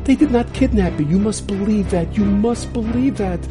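A woman's voice: mid-range voice, moderate pace, music in the background, pitched above the performer's own voice, natural voice. Woman playing an earnest young man.

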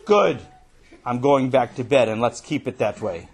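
Man putting on a loud, stern, just-woken father. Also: mid-range voice, animated, a little slow.

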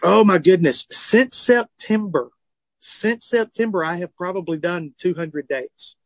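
A man's voice: high-pitched, a little slow, no echo, low-quality recording. A man in his forties with a Southern US accent, a comedian and author telling his story in an interview from a tour bus. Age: 40s. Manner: animated, earnest, self-deprecating humor. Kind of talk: phone or remote interview, candid storytelling.

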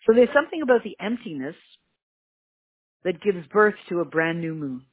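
A teacher with a Canadian accent giving an emphatic religious lesson, repeating key words and jumping up in pitch for emphasis. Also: expressive, normal speed, slightly rough, no echo. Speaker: Torah teacher. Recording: tinny phone-like line, dry.

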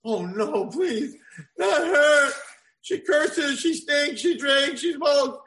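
A man voicing a young male prince forced into kitchen slavery, pleading and shouting in a strained high voice. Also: expressive, slow pace, full character voice. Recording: echoey room.

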